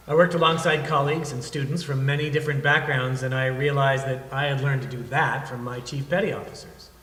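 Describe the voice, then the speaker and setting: A man, a veteran, reading his own scripted reflective story on stage with a measured, literary delivery. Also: a little high, fairly bright tone. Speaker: navy veteran and teacher reading his memoir. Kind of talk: scripted personal narrative read on stage. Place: theatre stage at an arts centre. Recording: echoey hall, distant from mic.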